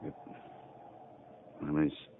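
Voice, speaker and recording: An actor playing a rough, folksy cavalry sergeant who chews tobacco and craves action, in a 1950s Western radio drama. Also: male voice, extremely slow. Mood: grimly satisfied. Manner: folksy, ungrammatical frontier talk. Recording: muffled, hissy 1950s radio recording.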